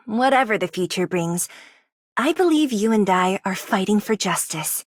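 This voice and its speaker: A woman's voice: medium pitch, lively intonation, unhurried, dry recording, clean studio sound. A woman voicing an earnest, principled young operator in a video game, formal and thoughtful. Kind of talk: English video game character voice lines.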